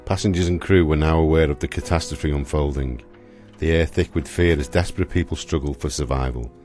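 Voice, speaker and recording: A man's voice: extremely low pitch, fairly quick, a little mellow, soft background music. A man giving dramatic scripted narration for a historical radio play.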